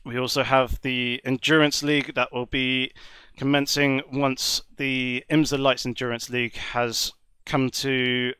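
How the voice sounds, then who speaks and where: A man with a slight cold talks through a sim racing session in a measured, courteous commentary style. His voice stays smooth and clear despite the congestion. The sound is close and dry, with no room around it. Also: medium pitch, little pitch movement, bright, forceful tone, no echo. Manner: measured, wordy, polite. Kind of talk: live esports race commentary.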